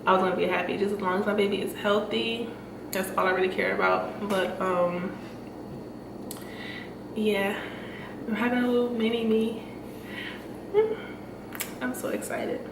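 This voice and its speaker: A woman's voice: mid-range voice, fairly even intonation, moderate pace, vocal fry, long pauses. Chatty young woman, stuffy and breathless. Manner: chatty, lots of fillers and laughs. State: stuffy nose, short of breath. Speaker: vlogger. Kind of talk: casual chat to camera. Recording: echoey room, mic at a distance, noisy.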